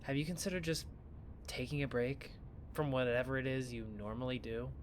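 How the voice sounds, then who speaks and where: A man playing a flippant but caring young student in an audio drama, talking in a casual, sardonic, expressive way. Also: mid-range voice, a little fast, slight background noise, close to an everyday voice. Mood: teasing concern.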